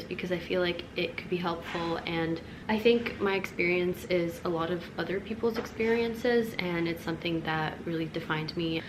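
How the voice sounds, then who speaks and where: Brief: young woman vlogger from Czechia, lower pitch, casual rambling storytime over steady background hiss. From Czech Republic. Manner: chatty, rambling with fillers and restarts.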